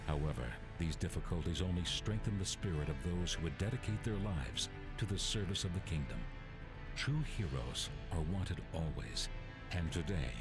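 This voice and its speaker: A man narrates a game's opening in a very low, solemn voice. His delivery is measured and scripted, like an epic prologue. Loud music plays under every line. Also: animated. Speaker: game intro narrator.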